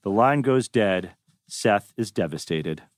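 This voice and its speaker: A man's voice: lowish voice, lively intonation, slow pace, no echo. Man reading screenplay stage directions. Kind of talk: scripted narration at a table read.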